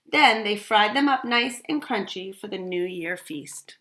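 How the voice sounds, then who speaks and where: A woman reading a picture book aloud for children, pausing to ask listeners questions about the story. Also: medium pitch, animated, bright, forceful tone, a little hiss or hum. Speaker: storytime reader. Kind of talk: read-aloud storytime narration.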